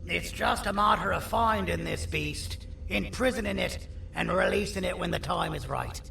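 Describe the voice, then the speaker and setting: A man playing a sinister, self-important monster surgeon in a video game, pompous, theatrical and condescending, with a gravelly voice. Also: high-pitched, normal speed, bright, forceful tone, heavily acted. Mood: scheming, commanding. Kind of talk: video game villain character acting. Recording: roomy echo, distant, faint noise.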